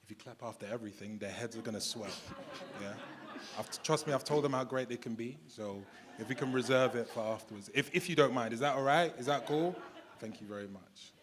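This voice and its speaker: A man's voice: medium pitch, brisk, crowd noise. A man moderating a live panel, jokey and friendly with the audience.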